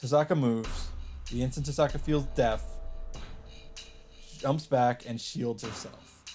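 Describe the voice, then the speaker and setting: A man with a mid-pitched voice reads visual novel narration aloud as the host of a let's play. His delivery is that of someone narrating a story from the screen. Faint game music plays behind him. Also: steady tone, a little slow, a little mellow.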